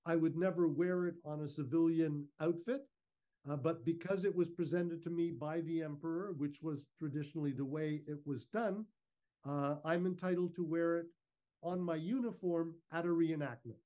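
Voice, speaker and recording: A man giving an informal, measured, explanatory slide talk over a video call. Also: a little high, steady tone, mellow. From Canada. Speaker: guest speaker, historical reenactor. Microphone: video call microphone.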